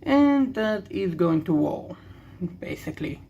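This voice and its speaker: A young man with an Israeli accent, a native Hebrew speaker, rambling candidly and haltingly as he hosts a casual video. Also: high voice, sing-song, vocal fry, mellow, highs cut off. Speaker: card-opening video host. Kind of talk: casual rambling commentary while opening cards.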